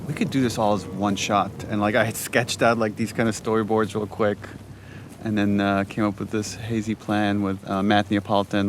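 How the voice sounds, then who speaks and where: A man with a fairly low voice gives casual director's commentary. He is conversational and keen on small details. There is faint background noise under his talk. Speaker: game director.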